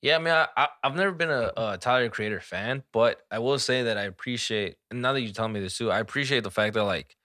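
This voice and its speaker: A man's voice: medium pitch, fast talker, hardly any pauses, sharp tone, dry recording, clean studio sound. A man chatting casually as a podcast co-host, swearing freely and talking in loose slang. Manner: profane, slangy. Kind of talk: casual chat.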